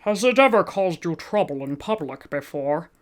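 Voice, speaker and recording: A woman voicing a businesslike male police officer with a nasal voice, reading him flatly and officially. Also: fairly low pitch, moderate pace, natural voice. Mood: stern. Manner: flat, official, issuing a citation.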